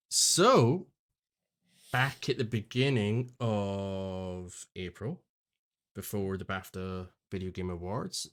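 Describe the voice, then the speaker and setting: Man, podcast host, casual chat, joking, self-deprecating. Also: on the low side.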